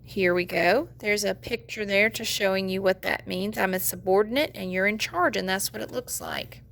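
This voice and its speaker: A woman's voice: medium pitch, normal speed, creaky, a bit bright, slight background noise. A woman teacher giving an instructional read-aloud of a children's nonfiction book, explaining and putting questions directly to young learners. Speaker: teacher reading aloud to students.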